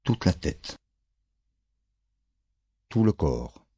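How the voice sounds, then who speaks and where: A man leads a guided relaxation as a yoga instructor. His delivery is very slow and calm, with short phrases set apart by pauses. The voice is soft-edged and mellow, recorded close and dry. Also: a bass voice, husky, no echo.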